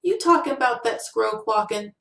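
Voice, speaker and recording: A woman plays a whiny, agitated man in a short story, pleading with a friend he leans on. The voice jumps higher and sounds slangy and insistent. The room echoes noticeably. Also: expressive, a little fast, creaky, fairly bright tone, distant mic. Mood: scornful. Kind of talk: short-story audiobook character voice.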